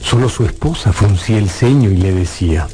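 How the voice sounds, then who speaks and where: A man narrating a dramatised literary story for radio in a measured storytelling manner. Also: lowish voice, expressive, warm tone, natural voice. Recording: music bed under the voice. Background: music.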